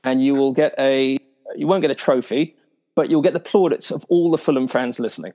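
A man's voice: mid-range voice, steady tone, fairly quick, mellow, faint music behind. A man hosting a podcast quiz show, joking and reading introductions with some fillers.

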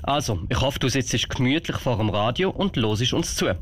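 A man's voice: mid-range voice, lively intonation, moderate pace. A man speaking as guest storyteller on radio for young listeners.

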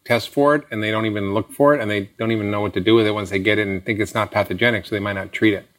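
A man hosting an interview, affirming and summarizing the guest's points in a brisk way. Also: fairly low pitch, fairly even intonation, fairly bright tone. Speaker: interview host. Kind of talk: interview conversation.

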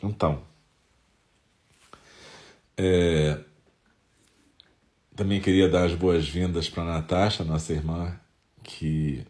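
A Brazilian man with a nasal, stuffy voice from a head cold, calmly leading a Zen meditation talk. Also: very deep voice. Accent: Brazilian Portuguese.

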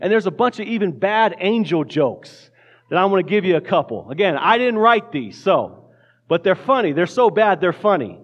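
A man's voice: high voice, big pitch swings, normal speed, muffled recording. A man preaching a casual sermon in a church, joking lightly and often restarting his words. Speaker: pastor. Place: church sanctuary.

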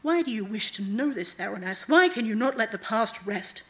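A woman voicing a cold, manipulative female night god in a deliberate, commanding manner, as in a fantasy audiobook. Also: a little high, animated, natural voice. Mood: stately, coaxing.

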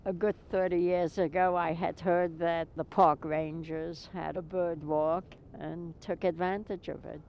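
Low gravelly New woman, calm. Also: a little slow, hardly any pauses, a little hiss or hum. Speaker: birdwatcher interviewee. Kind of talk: interview answers.

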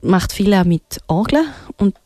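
A woman's voice: medium pitch, expressive, unhurried, warm tone, no echo, studio quality. A woman with a Swiss German accent presenting music on the radio in a casual, laid-back way, sounding a little tired. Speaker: radio show host.

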